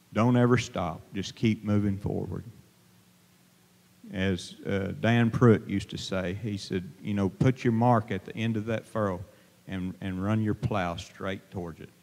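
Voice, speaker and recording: Guy preaching into a handheld mic, lowish voice, church reverb hanging on every word. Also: darkish tone. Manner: plain-spoken, halting, many fillers and restarts. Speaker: lay preacher. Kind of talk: informal sermon. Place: church sanctuary, at a pulpit. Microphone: handheld microphone held to mouth. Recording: long reverb of a church hall.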